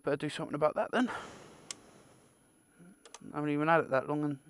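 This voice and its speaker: A man's voice: on the high side, quick pace, clear, resonant tone. A British man giving a casual, unscripted product unboxing, rambling and muttering asides to himself with the odd mild swear. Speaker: amateur video creator presenting an unboxing.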